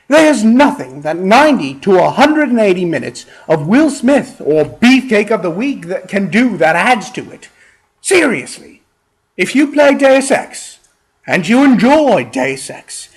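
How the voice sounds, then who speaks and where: A man rants from a script with theatrical scorn and plenty of profanity. His voice sits high for a man and moves through big swings in pitch. He goes slowly, stretching out words for exasperated emphasis. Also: rough recording. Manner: exasperated, emphatic, drawn-out delivery. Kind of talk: profane comedic rant read from a script.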